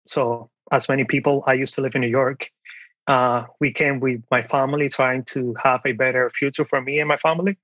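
A man's voice: mid-range voice, fairly even intonation, fairly quick, a bit bright, dry recording, lo-fi sound. A young man from the Dominican Republic with a Dominican Spanish accent, telling his story casually as an interview guest. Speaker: podcast guest, online seller.

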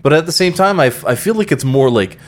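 A man's voice: on the high side, animated, fast. A man co-hosting a podcast in casual, rambling chat, full of fillers and casual swearing. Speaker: podcast co-host.

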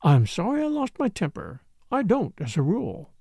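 A man voicing a reserved, polite young woman with a measured, quiet delivery, in an audiobook. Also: high-pitched, wildly swooping pitch, creaky, husky, no echo, clean studio sound, full character voice. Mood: reflective.

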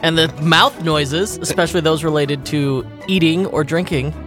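A man's voice: on the high side, moderate pace, fairly bright tone, faint music behind. A man co-hosting a podcast in casual chat, rambling and laughing often as he corrects himself. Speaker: podcast co-host.

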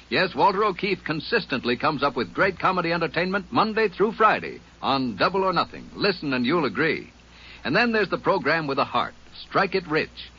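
A man announcing in a bright, lively old-time radio style, his pitch swinging up and down. The voice is slightly husky and comes through a muffled vintage broadcast. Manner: bright and upbeat, emphatic. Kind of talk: 1950s radio promo announcing.

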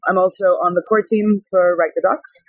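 A woman from California talks easily as an interview guest, laughing often between thoughts. Her voice is slightly husky, and the recording is dry and close, with no room sound. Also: mid-range voice, steady tone, fairly quick, no room sound, poor audio. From born in Israel, grew up in California. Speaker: guest, technical writer. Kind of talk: casual interview chat. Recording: outdoor field recording.

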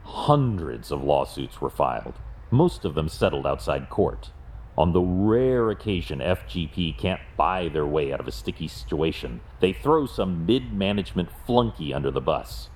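Man playing brooding wealthy crime-fighter, very low voice, varied intonation, grim, resolute, deliberate, audio drama. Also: sing-song, a little mellow, slight background noise, heavily acted. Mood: grim, factual.

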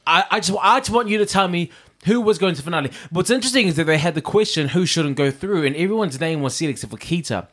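A man co-hosting a casual review show, animated, talking fast over his partner. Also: sits high. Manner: animated, talks over others, acts out quoted bits. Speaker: podcast co-host reviewing a drag competition. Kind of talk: casual chat.

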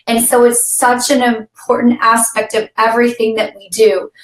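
A woman's voice: fairly high pitch, level tone, normal speed, roomy sound. A woman co-hosting a business podcast, with a scratchy voice today and an upbeat, laughing manner. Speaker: podcast co-host.

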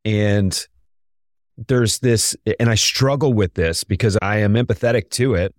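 A middle-aged man co-hosting a podcast, his voice raspy today, reflecting in casual chat and searching for words. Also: on the low side, a little slow, darkish tone, no room sound, clean studio sound. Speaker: podcast co-host.

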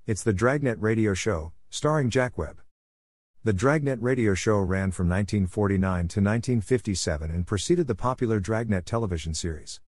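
A deep-voiced man introduces an old radio episode in a friendly, earnest tone. His voice is recorded close and dry, with no room sound at all. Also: fairly quick, darkish tone, no echo. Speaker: podcast host. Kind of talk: read podcast introduction with sponsor message.

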